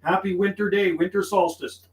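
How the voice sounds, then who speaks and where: A man's voice: sits high, little pitch movement, moderate pace. Guy doing casual cb radio chat, repeats himself, echoey room with a bit of noise. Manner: folksy, repeats phrases, radio slang. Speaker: CB radio operator and livestreamer. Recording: roomy sound with faint background noise.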